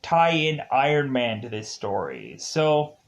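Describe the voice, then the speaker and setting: A man reviewing episodes in a casual, rambling way, halting often and circling back over his phrases. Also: medium pitch, huge pitch swings.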